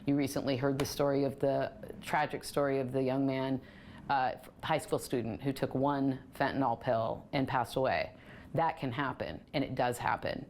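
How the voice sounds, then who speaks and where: Woman, American, very low pitch, serious interview, emphatic phrasing, slight background noise. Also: steady tone, moderate pace, a little mellow. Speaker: nonprofit official being interviewed.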